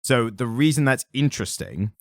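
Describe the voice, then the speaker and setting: A man co-hosting a comic book podcast in casual chat, husky-voiced and animated, often restarting his sentences. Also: mid-range voice, moderate pace, no room sound, clean studio sound. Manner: animated, self-correcting, talks in bursts. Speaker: podcast co-host.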